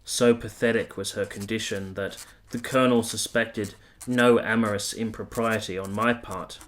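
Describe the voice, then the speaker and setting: An actor playing an earnest, articulate army captain who has been to war, in a radio play. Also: male voice, lowish voice, close to an everyday voice. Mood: solemn, reflective. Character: earnest, candid young army captain, reflective war veteran. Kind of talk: radio play narration and dialogue.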